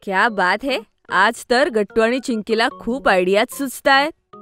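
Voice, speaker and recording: A kind cartoon mom, soothing n encouraging, music in the back. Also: female voice, fairly high pitch, measured pace, a bit bright. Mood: warm, approving. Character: warm, reassuring adult mother of two. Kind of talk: children's cartoon voice acting. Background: background music.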